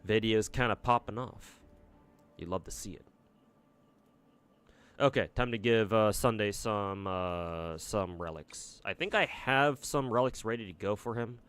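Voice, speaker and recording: Offhand American man streaming, low voice. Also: a little slow, fairly bright tone, smooth. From United States. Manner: rambling and offhand, with frequent swearing and restarts. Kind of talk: casual livestream chat while gaming.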